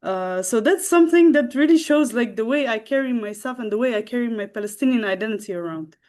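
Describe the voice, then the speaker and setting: A young woman from the Netherlands, a guest telling a personal story in a halting way, with many fillers and restarts. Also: fairly high pitch, lively intonation, darkish tone. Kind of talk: casual storytelling.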